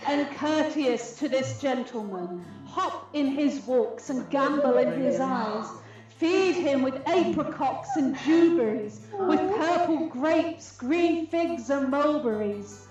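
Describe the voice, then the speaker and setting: A high-voiced, regal fairy queen in love, played by a woman, declaims Shakespearean verse in a radio drama. She is stately and unhurried, sensual yet commanding. The sound is very echoey, with music behind. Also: lively intonation, a little mellow. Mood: doting, regal. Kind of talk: Shakespearean radio play acting. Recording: echoey, slightly dull audio, music behind.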